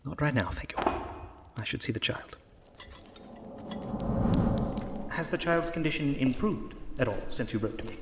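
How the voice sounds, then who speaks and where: Male actor as devout priest for horror audio play. Mid-pitched, courteous, formal, a touch pompous, quietly unsure of himself; noisy recording. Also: lively intonation, fairly quick, natural voice. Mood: musing. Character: polite, devout priest, hesitant and quietly self-doubting. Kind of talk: horror audio drama acting.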